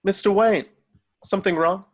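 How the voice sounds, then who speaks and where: Man playing high-voiced, fussing old butler. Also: fairly even intonation, moderate pace. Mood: concerned. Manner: formal, solicitous. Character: Alfred, a fussing, caring old male butler. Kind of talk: table read of a film script.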